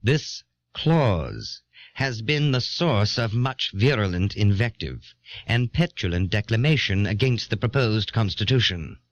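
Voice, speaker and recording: A man playing a grave early American statesman, reading a political essay in deliberate, emphatic oratory on an old cassette. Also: mid-range voice, fairly bright tone. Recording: dull, dry old audio cassette.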